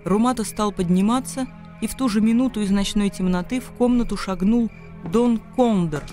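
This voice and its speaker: High-voiced male radio drama narrator. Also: moderate pace, dark tone, soft background music.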